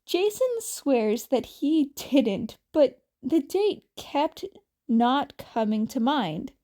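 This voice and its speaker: A woman with a somewhat high-pitched voice narrates fan fiction. She goes very slowly, with an informal, slightly halting delivery, like an amateur audiobook reader. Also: lively intonation, warm tone.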